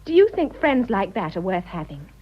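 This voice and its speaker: An actress playing a sympathetic, composed young wife in a British radio drama, polite and direct in manner. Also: female voice, medium pitch, very animated, moderate pace, highs cut off. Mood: sympathetic, curious.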